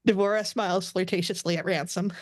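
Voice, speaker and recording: A woman's voice: on the low side, steady tone, fast, no room sound, studio quality. A woman reading stage directions as narrator at a podcast table read of a script.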